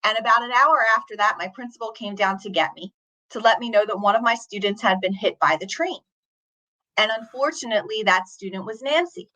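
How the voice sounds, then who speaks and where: A woman teacher and author, a guest on an interview show, telling a story in an earnest, steady, reflective way. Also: mid-range voice, fairly quick, rough recording.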